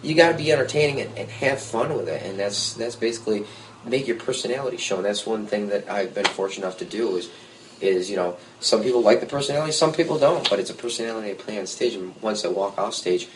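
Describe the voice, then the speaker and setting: A man, a guest musician, telling lively stories in a casual podcast conversation with jokey asides. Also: fairly low pitch, fast, noisy background. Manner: storytelling, animated, jokey asides.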